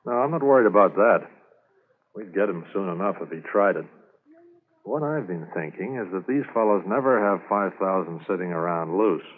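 An actor playing an alert, plain-spoken junior police officer in 1950s radio drama style. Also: male voice, low-pitched, expressive, normal speed. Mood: thoughtful. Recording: noisy, tinny 1950s radio recording.

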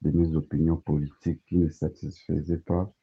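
Very deep husky Congolese man, phone-like sound. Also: flat delivery, normal speed. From Democratic Republic of the Congo. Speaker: interviewee giving testimony. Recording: tinny phone-like narrow band.

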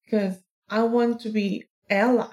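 A woman from South Korea with a Korean accent, a native Korean speaker answering interview questions casually and haltingly, searching for words. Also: mid-range voice, flat delivery, darkish tone. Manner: halting, searching for words in second-language English. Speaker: interview guest, plus-size model.

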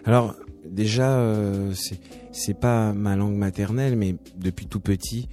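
Male musician guest from France, lowish warm voice, laid-back chatty delivery, faint music underneath. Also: unhurried. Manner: relaxed, chatty, many restarts and "tu vois". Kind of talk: casual interview talk.

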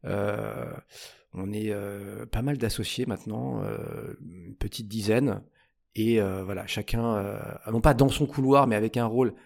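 A man's voice: on the low side, measured pace, gravelly, a little mellow. A man in his forties from the Paris area, a podcast guest talking casually in an office, full of hesitations and colloquial slang. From Paris area, France. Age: 40s. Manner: hesitant, many "euh" fillers and repeated words, colloquial slang. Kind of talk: casual conversational interview.

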